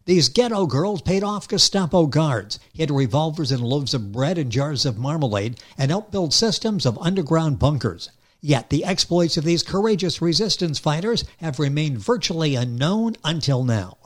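A middle-aged man hosting a radio interview show, introducing his guest with an energetic, emphatic announcer delivery. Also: a little high, moderate pace.

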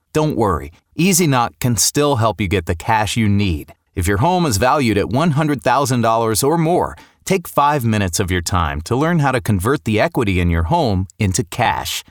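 A man's voice: medium pitch, dry recording, clean studio sound. A man reading a scripted commercial in a friendly, persuasive way.

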